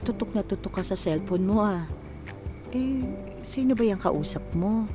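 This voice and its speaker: A woman's voice: medium pitch, expressive, normal speed, over music, natural voice. A woman playing a loving, weary middle-aged mother in a Filipino radio drama, with a tender, coaxing, sighing manner. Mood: probing.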